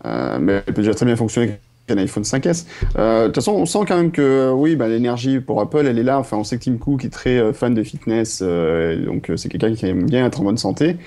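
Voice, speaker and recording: A man on a tech panel rambles through his points, stacking fillers and restarts. His tone is mellow and dark, at a middle pitch. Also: big pitch swings, fast talker. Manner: rambling, filler-laden explanations. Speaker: panel guest. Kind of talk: casual panel discussion.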